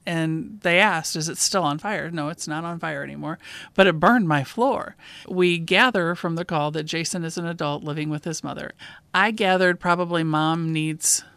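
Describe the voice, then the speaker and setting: Female host, police dispatcher type, conversational case commentary. Clear, smooth voice, dry sound. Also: sits low, moderate pace, a bit bright, no echo.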